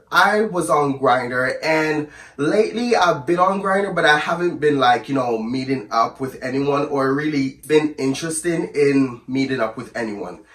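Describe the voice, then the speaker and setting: A man with a hoarse voice from the flu, telling a storytime to camera in a chatty, sassy, rambling way and calling his viewers "girl". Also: on the high side, a bit bright, echoey room, distant mic. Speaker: storyteller vlogger. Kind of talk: casual storytime chat to camera.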